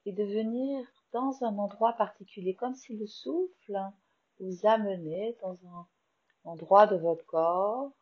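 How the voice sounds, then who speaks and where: A woman with a French accent slowly guiding a yoga nidra meditation in a soft, soothing voice. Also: mid-range voice, muffled recording. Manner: slow, soft, soothing guidance with long pauses. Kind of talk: guided meditation.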